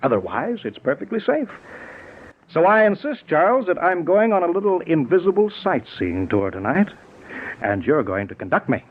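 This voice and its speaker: A man's voice: on the high side, big pitch swings, moderate pace. An actor playing an arrogant, resentful inventor drunk on his own power in a 1940s radio drama, insistent and gloating. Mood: cocky, insistent. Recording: tinny, noisy 1940s radio recording.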